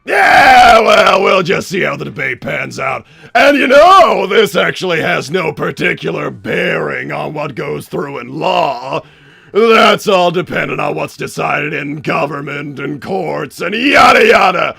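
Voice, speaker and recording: A man playing a flamboyant, excitable mad-scientist villain hosting a livestream, theatrical, exasperated and rambling, with a raspy voice. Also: a little high, a little slow, dry recording, shouting, faint music behind, heavily acted. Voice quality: gravelly, raspy. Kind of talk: improvised in-character livestream hosting.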